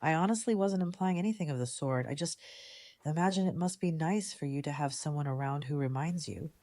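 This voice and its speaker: An actress playing a shrewd, composed female community leader in an audio drama, measured and articulate in manner. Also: low voice, dark tone, no room sound, natural voice. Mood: gently teasing. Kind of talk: fan audio drama acting.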